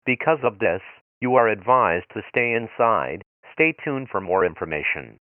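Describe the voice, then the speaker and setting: A man's voice: on the low side, animated, moderate pace. A man playing a grave emergency news announcer, reading a formal, authoritative bulletin through a radio filter. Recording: tinny, narrow-band radio sound, dry.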